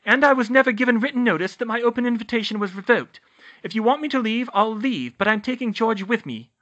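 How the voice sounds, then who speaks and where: A man's voice: very high voice, lively intonation, fast, fairly bright tone, muffled recording, pitched above the performer's own voice. A man voicing a fierce, determined and protective teenage witch girl in a story, speaking in an urgent, emphatic way. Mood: defiant. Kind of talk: audiobook character voice.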